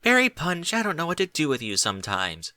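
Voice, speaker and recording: Cheerful, flustered cartoon mare, played by a male reader; high, bright, affectionate storybook delivery. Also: brisk, dry recording, heavily acted. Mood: nervous, amused. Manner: excitable, flustered, friendly. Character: cheerful, giggly, affectionate adult female pegasus pony. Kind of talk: amateur audiobook character voice.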